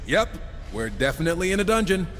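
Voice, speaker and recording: An irreverent warrior horseman in a game cutscene. The voice is fairly high for a male, lively and sarcastic, with flippant impatience. It echoes in a big hall with music faintly behind. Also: fast talker, a little hiss or hum. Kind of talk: video game cutscene acting.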